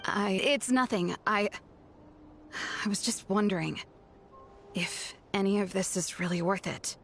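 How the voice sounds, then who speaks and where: An actress playing a guarded, conflicted young female scout in a video game, brusque and at times halting. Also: medium pitch, fairly bright tone, slight background noise. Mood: troubled, hesitant.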